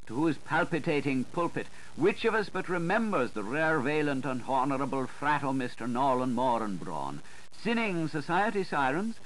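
A man's voice: a little hiss or hum, low-quality recording. A man narrating a literary audiobook in a theatrical, declamatory way, on a 1980s recording. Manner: animated, highly inflected, declamatory delivery.

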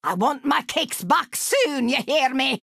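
A woman's voice: sits high, expressive, a little slow, creaky, fairly bright tone, no room sound, clean studio sound, exaggerated voice. A woman voicing a crotchety, scheming old witch for a video game, with a Scottish accent and a theatrical, scolding, mock-sweet manner. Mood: demanding, irritated. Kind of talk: animated video game voice acting.

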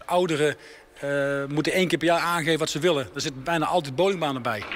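A man's voice: a little high, quick pace. Earnest businessman in a noisy bowling alley. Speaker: bowling lane entrepreneur. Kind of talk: radio interview answers. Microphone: handheld reporter mic. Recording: on-location radio report, background noise. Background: bowling alley ambience.

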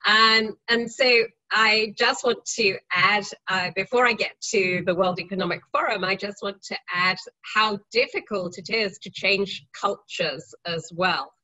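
English woman panelist, bright sharp voice, restarts a lot, lo-fi call audio. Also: medium pitch, unhurried, hardly any pauses. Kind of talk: panel discussion. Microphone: video call. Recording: muffled video call audio.

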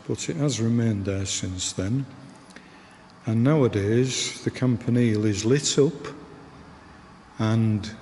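A man with a Northern English accent, giving a slow, gentle guided tour inside a large church, recorded on a handheld camera. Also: fairly low pitch. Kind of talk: unscripted guided tour voice-over. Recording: reverberant church hall, faint background noise.

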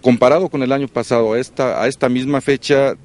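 Middle-aged male official, Mexican accent, medium pitch, outdoor press interview. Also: fairly even intonation, quick pace, darkish tone. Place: open field outdoors by a helicopter. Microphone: handheld press microphones.

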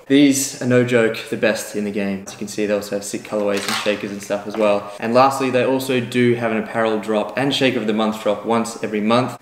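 A young man vlogging casually in a relaxed, chatty, self-mocking way, filmed on a camera mic under a house deck and indoors. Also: on the low side. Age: young adult. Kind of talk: casual vlog chat. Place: outdoor area under a house deck, then indoor room.

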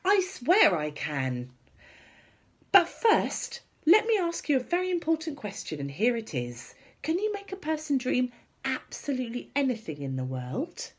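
A woman's voice: high voice, wildly swooping pitch, measured pace, husky, voice pushed up, heavily acted. A woman voicing a young girl, a determined and impassioned storybook heroine who speaks emphatically. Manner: emphatic, exclamatory.

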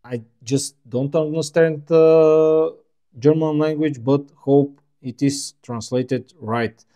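A man whose first language is Bulgarian talks through a phone's settings at an easy pace. His voice is warm and low in brightness, his phrasing plain and a little halting, like a casual tech walkthrough. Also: steady tone. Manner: unhurried, plainspoken, slightly halting non-native phrasing. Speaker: tech reviewer.

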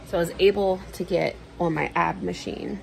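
A woman's voice: on the low side, steady tone, moderate pace, vocal fry, darkish tone, slight background noise, poor audio. A woman in her thirties chatting casually in a rambling, sweary personal vlog recorded in a room at home. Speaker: vlogger. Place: small indoor room at home.

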